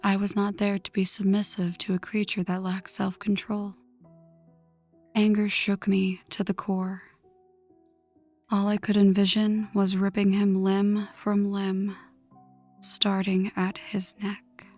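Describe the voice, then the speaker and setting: Slow, hushed vampire woman, acted by a woman. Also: mid-range voice, completely flat delivery, close to an everyday voice. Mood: seething. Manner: hushed, breathy, slow dramatic delivery. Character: newly turned vampire woman, vengeful, seething. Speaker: audio roleplay narrator. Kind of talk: ASMR scripted first-person story narration. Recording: music under the voice. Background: background music.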